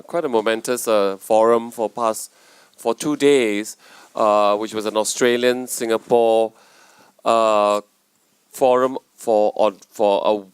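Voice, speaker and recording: A man moderating a conference panel, setting up context in a halting way with many restarts. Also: medium pitch, clear, resonant tone, dry recording. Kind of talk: introducing a panel. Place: conference room.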